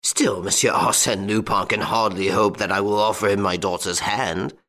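A man's voice: lowish voice, steady tone, moderate pace, bright, forceful tone. A man voicing an anxious, crabbed and proud old duke in a storybook reading. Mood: indignant. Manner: anxious, indignant.

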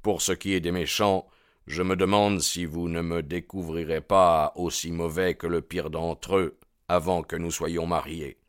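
A man voicing a composed, defiant young man in an audiobook, speaking in a quiet, dry manner. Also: sits low, flat delivery, unhurried, clear, resonant tone, no echo. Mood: bitter, tender. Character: cool, defiant, bitterly self-aware young man.

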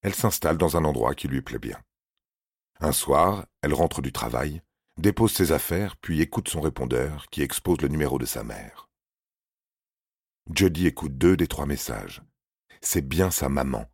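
Very deep, husky male horror narrator. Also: animated, moderate pace, a little mellow, no echo, clean studio sound. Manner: grave, suspenseful delivery. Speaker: narrator of a paranormal true-story podcast. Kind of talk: scripted dramatic narration of horror stories.

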